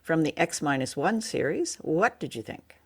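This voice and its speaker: A woman's voice: deep voice, very animated, moderate pace, breathy edge. Woman co-hosting a review podcast. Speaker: podcast co-host. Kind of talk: review discussion.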